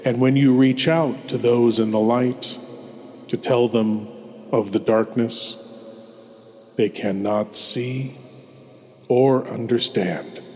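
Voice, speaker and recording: A man plays a courtly, sinister host of an old-time horror show. He draws his ominous phrases out very slowly with theatrical grandeur. His mid-pitched voice rings in a long, cavernous reverb. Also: fairly even intonation, soft background music, natural voice.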